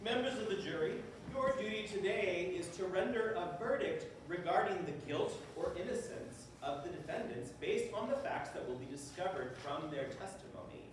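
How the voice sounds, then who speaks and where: A man's voice: sits high, animated, normal speed, hardly any pauses. A man playing a self-assured, wry judge in a stage reading, speaking in a formal, declamatory way. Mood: formal, pompous. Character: Judge Jubal Harshaw, self-assured, wry literary courtroom judge. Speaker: stage actor. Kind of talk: theatrical readers theater monologue. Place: stage in an echoey room. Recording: very echoey hall, distant mic, noisy.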